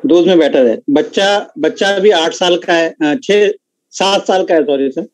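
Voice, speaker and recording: A man's voice: a little high, measured pace, dry recording, rough recording. A man hesitantly asking a question as a participant in an online session.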